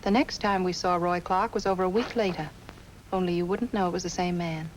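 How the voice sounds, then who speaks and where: An actress playing an earnest, anxious dental nurse in a 1950s film noir, with a plaintive manner and an American accent. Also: female voice, fairly low pitch, steady tone, fairly quick, close to an everyday voice. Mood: recollecting. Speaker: film actor. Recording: noisy old film soundtrack.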